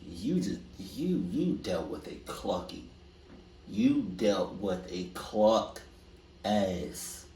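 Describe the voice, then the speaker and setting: Echoey, low-voiced man chatting. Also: lively intonation, drawn-out words, mellow. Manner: rambling, slangy, hesitant. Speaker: reaction video host. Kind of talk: casual, unscripted commentary. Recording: echoey, distant mic, faint noise.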